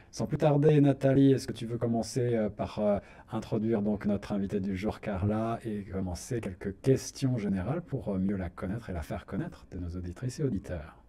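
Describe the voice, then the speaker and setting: A man hosting a radio talk show in a studio, speaking in a measured, formal way and pausing often to search for words. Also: fairly low pitch, warm tone.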